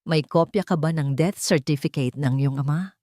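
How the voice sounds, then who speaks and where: A calm, sincere male lawyer from a dramatic story, voiced by a woman with a Filipino accent. He speaks in a measured, businesslike but gentle way, recorded dry. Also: low-pitched, no echo. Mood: probing, professional.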